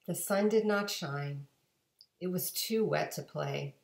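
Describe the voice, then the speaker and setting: A woman in her forties reading a storybook aloud to children with expressive, deliberate emphasis. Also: deep voice, creaky. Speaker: guest storybook reader. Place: home kitchen-dining room. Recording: echoey home room, webcam microphone.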